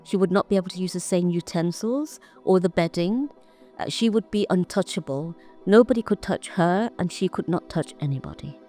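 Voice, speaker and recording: Slow, low-toned woman. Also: animated, dark tone, soft background music. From India. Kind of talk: personal testimony for a video.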